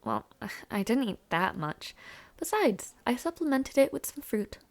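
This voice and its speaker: A young woman playing a friendly, chatty university student in an audio roleplay, speaking casually with hesitant afterthoughts and a creaky voice. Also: a little high, sing-song, measured pace. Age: young adult. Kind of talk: intimate audio roleplay, casual one-sided conversation.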